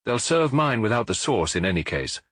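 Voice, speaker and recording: Man voicing a terse, weary detective chief, husky. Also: medium pitch, big pitch swings, moderate pace, fairly bright tone, no room sound, studio quality. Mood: resigned, grumbling. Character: weary middle-aged male French detective chief, laconic, grumbling.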